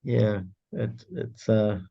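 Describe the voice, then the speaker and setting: A man whose first language is Greek, talking in a casual group video call and pausing often with fillers. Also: fairly low pitch, vocal fry, dull audio. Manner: halting, lots of filler pauses. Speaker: prayer group member. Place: indoor room shared with another participant.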